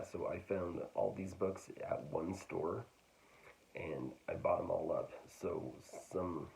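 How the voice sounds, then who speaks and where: A man casually talking through a book haul, hesitant and full of fillers, his voice getting raspy. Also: low-pitched, a little mellow, a little hiss or hum, rough recording. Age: adult. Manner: hesitant, full of ums and restarts. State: getting raspy, clearing throat. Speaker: book vlogger.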